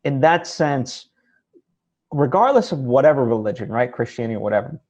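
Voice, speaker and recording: A man's voice: medium pitch, animated, fairly quick, a little mellow. A man hosting a casual interview, thinking aloud in a tentative, hedging way. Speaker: interview host. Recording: slightly dull, lo-fi audio.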